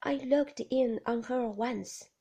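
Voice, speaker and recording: A woman's voice: a little high, slow pace, warm tone. A woman voicing a simple-minded, fearful older man who speaks in broken rustic grammar, lifting her whisper into a voiced, pleading tone. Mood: secretive. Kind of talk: whispered audiobook reading, voiced aloud for the figure.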